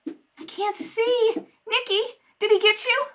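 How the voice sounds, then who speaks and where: A woman's voice: extremely high pitch, steady tone, slow, a bit bright, faint music behind, full character voice. A woman playing an anxious, inquisitive and affectionate wife in an amateur radio mystery read-through. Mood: frightened, relieved. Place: at a kitchen table at home.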